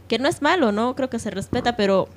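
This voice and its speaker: A woman's voice: a little high, lively intonation, fairly quick. A woman giving her opinion informally in a discussion, emphatic and piling up examples. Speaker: panel participant.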